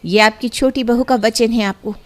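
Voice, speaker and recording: A determined young bride voiced by a woman in a cartoon family drama. She is high-voiced, earnest and respectful to her elders. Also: steady tone, moderate pace, natural voice. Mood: resolute.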